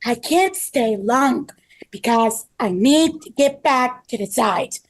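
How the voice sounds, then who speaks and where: A woman reading the part of an earnest, careful construction manager in a halting, deliberate way at a table read. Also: fairly high pitch, expressive. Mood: earnest, measured. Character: earnest construction project manager named Bob, calm and careful. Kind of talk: table read of a scripted story.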